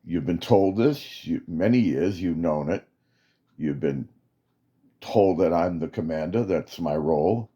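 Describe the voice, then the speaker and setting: A man voicing a stern male military commander who gives slow, emphatic orders. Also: low-pitched, mellow.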